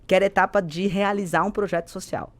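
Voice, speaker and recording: A woman's voice: mid-range voice, fairly even intonation, fast, crowd noise. A Brazilian woman speaking as an executive guest on a podcast, explaining emphatically and checking in with little tag questions. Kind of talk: podcast interview conversation.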